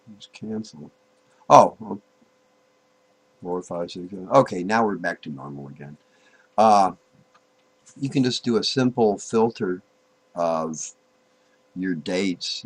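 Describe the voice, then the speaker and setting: A man with a fairly low voice walks through software in an informal, unscripted way. His delivery is very slow and halting. He thinks aloud and repeats himself as he works through each step. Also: breathy edge, low-quality recording. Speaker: software tutorial presenter. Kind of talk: informal screen-recorded tutorial, unscripted walk-through.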